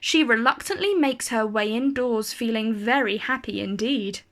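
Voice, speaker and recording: Female storyteller, higher-pitched voice, for an expressive picture-book read-aloud with deliberate, dramatic phrasing.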